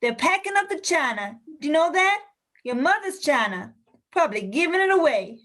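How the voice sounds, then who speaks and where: Actress as elderly female ghost, very high voice, stubborn, mischievous, insistent, radio drama. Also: big pitch swings, moderate pace, fairly bright tone. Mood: insistent, wistful. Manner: insistent, feisty. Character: stubborn, feisty old woman ghost, mischievous and jealous. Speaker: actor in an amateur radio play.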